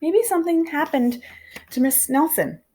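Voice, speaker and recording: Woman doing excitable, high-pitched schoolkids. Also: moderate pace, lightly acted. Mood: worried, guessing. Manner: exclaiming, eager. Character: excitable, mischievous schoolchildren, boys and girls. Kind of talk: children's storybook character voice.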